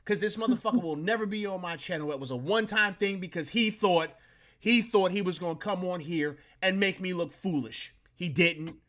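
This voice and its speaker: A man's voice: high-pitched, a little fast, a bit bright. A man in his late thirties hosting a live sports talk stream, ranting with plenty of profanity and boasting. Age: 30s. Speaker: sports talk show host. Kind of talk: casual live-stream chat.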